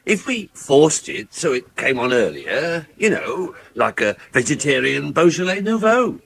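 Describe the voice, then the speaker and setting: A man's voice: on the high side, big pitch swings, unhurried, vocal fry, a bit bright, heavily acted. An actor playing a mild, enthusiastic country-house man, placating in manner, in a radio soap.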